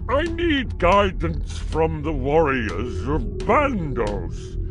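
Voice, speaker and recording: An actor playing a boastful, impatient, brutish builder of war machines in a video game, speaking in a pompous, emphatic way. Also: male voice, on the high side, wildly swooping pitch, drawn-out words, heavily acted. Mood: instructing, gruff. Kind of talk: video game character dialogue. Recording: game dialogue under loud music. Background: loud game music.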